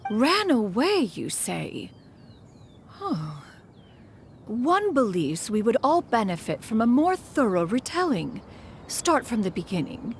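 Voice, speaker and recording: A proud immortal master from a fantasy game. Her highish voice moves expressively through stately, old-fashioned phrasing, with faint noise behind it. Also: very animated, takes it slowly, exaggerated voice. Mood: stern, urgent. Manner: formal, archaic, calls herself "one". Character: haughty, dignified female immortal bird adeptus, mentor, exacting. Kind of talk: anime game voice acting.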